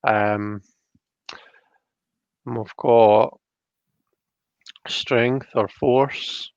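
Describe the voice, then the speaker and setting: Brief: Scottish male live-stream host, slow chatty delivery with creaky voice, reading comments and musing between chuckles. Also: medium pitch, fairly even intonation, a little mellow, no room sound, dull audio. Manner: chatty, hesitant, often chuckling.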